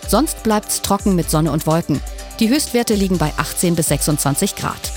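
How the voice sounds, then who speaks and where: A woman presenting a radio weather forecast in a brisk, clear way. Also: fairly low pitch, lively intonation, a little mellow, soft background music.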